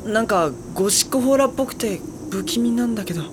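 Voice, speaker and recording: A woman's voice: a little high, animated, fast, background noise, lightly acted. Woman playing a mild, hesitant schoolboy. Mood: uneasy. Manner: polite, hesitant, slightly exasperated. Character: mild, hesitant, polite high school boy. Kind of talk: Japanese audio drama acting.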